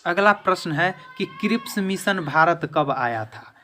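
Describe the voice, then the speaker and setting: A man's voice: high-pitched, lively intonation, measured pace, bright, forceful tone, a little hiss or hum. A man teaching an exam-prep lesson in question-and-answer form, emphatically repeating each answer.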